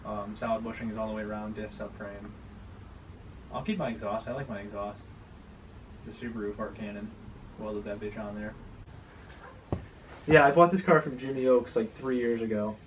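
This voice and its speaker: A man's voice: fairly low pitch, far from the mic. A man chatting casually in an offhand, slangy, rambling way, with frequent swearing. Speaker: car owner and vlogger. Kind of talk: casual chat about his drift car. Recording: clearly noisy location recording.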